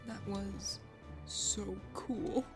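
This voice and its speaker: A woman voicing a proud but shy young male hunter prince in a visual novel, with a haughty, halting manner. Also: mid-range voice, steady tone, pitched below the performer's own voice. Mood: awed, shy. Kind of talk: visual novel character voice-over. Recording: music under the voice.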